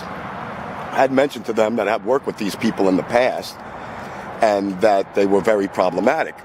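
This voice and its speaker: A man in his fifties with a hoarse, cold-roughened voice, rambling casually to his camera outside by a road in the early morning. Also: medium pitch, noisy background. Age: 50s. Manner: rambling, conversational, talks to the camera. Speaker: vlogger. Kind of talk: casual vlog monologue. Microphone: camera on a magnetic tripod.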